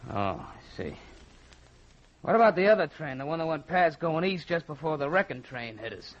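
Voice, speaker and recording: A jittery, haunted American accomplice in a vintage radio crime play. His voice sits fairly high and swings widely, hesitant and excitable, on a dull old recording. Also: moderate pace. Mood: agitated, defensive. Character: jittery, guilt-haunted male accomplice in a train robbery. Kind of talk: old-time radio drama acting.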